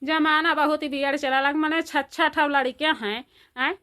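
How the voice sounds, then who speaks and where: A woman's voice: high-pitched, fairly even intonation, normal speed, bright, forceful tone. A woman with an Awadhi accent playing a scolding older village mother-in-law in a comedy cartoon, firing repeated rhetorical questions. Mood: alarmed, indignant.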